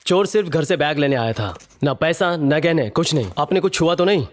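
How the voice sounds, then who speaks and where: A man playing a brisk, matter-of-fact police investigator in a film. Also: a little high, lively intonation, close to an everyday voice. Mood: businesslike. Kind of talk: film drama acting.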